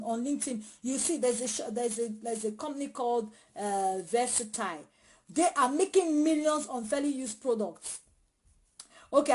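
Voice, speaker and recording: A woman's voice: fairly high pitch, darkish tone. A woman, chatting directly to viewers during a live question session, recorded on a phone at home. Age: 30s. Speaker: vlogger answering viewer questions. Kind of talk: casual live Q&A chat. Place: small home in a city.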